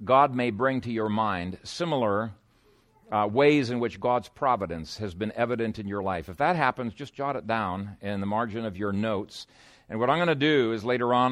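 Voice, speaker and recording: A man delivers an expository sermon in a conversational, informal way. His voice sits at an average male pitch. He hesitates as he looks for words and uses rhetorical questions and personal storytelling to walk through the text. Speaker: pastor preaching to a congregation.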